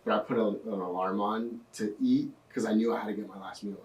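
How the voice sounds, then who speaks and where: A young man in his twenties, a podcast guest telling his story in a relaxed way with lots of fillers. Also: lowish voice, fairly quick, far from the mic. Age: 20s. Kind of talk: casual interview. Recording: echoey room, off-mic.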